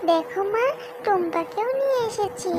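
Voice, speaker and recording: A woman's voice: very high voice, expressive, moderate pace, background noise. A woman voicing a young fox girl in a children's cartoon, eager and wheedling in a childlike way. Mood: pleading. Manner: wheedling, repetitive pleading. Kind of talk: children's cartoon story voice acting.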